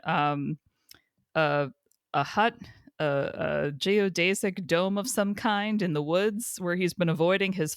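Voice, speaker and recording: A woman's voice: lowish voice, a bit bright, clean tone, dry recording. A woman co-hosting a podcast, speaking in a dry, playful, wry way. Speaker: podcast co-host. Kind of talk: casual chat.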